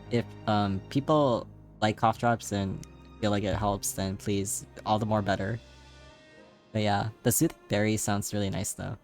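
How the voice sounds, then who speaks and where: A man streaming live, chatting casually with a slightly raspy, tired voice and rambling, filler-heavy talk. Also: fairly low pitch. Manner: rambling, many fillers, laughs often.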